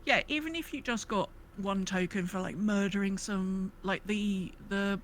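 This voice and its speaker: A woman's voice: mid-range voice, a little slow, a little hiss or hum. A woman co-hosting a podcast in casual gaming chat, thinking aloud in a hesitant, rambling way. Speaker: podcast co-host.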